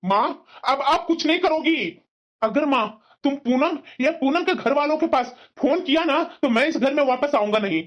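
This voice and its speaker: A woman's voice: high voice, normal speed, natural voice. A woman voicing an exasperated, aggrieved young husband in a family cartoon, scolding, in a dull lo-fi recording. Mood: firm, annoyed. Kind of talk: cartoon voice-over in family comedy drama.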